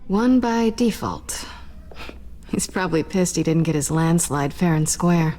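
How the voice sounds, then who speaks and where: An actress playing a guarded, conflicted young woman thief in a video game drama, breathy and husky, uneasy and evasive. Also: low-pitched, lively intonation, a little slow, dark tone, faint music behind. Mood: troubled, wondering.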